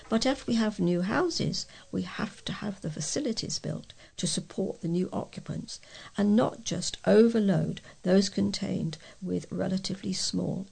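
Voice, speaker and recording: A British woman reading readers' letters aloud as a volunteer local newsreader, with a measured delivery and the occasional stumble. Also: medium pitch, animated, gravelly, dark tone, breathy edge.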